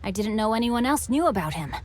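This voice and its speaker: A woman's voice: fairly high pitch, big pitch swings, brisk. A woman voicing a bookish young boy scholar in a video game, polite, articulate and composed. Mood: composed, pleased. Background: game music.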